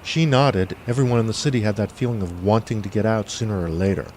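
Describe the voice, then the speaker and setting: A man's voice: fairly low pitch, quick pace, a little mellow, a little hiss or hum. A man reading his own scripted first-person fiction as an audiobook narrator.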